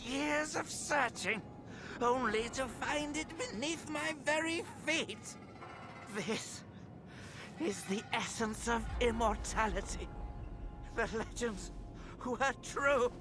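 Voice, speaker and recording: A man playing a zealous, brooding temple scholar in a fantasy video game, speaking in measured, theatrical, formal phrases. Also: very high voice, over music. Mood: manic, exultant. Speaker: video game character actor. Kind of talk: dramatic fantasy game dialogue.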